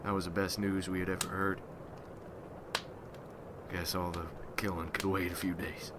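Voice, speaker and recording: Haunted rural war veteran turned monster hunter, played by a man: deep, even delivery, slow somber ASMR storytelling. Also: background noise, lightly acted. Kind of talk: ASMR roleplay monologue.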